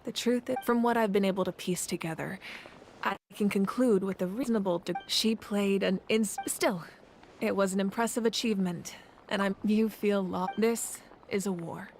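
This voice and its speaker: An actress playing a composed godly war leader in a video game, speaking with measured authority. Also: female voice, mid-range voice, a little mellow, a little hiss or hum, lightly acted. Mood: solemn, resolute. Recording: faint music behind.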